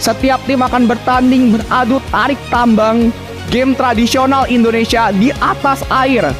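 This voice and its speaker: A man hosting a game show, energetic and slangy, calling out contestants loudly. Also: very high-pitched, moderate pace. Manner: hyped, slangy, calls out names loudly. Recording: music under the voice. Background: music.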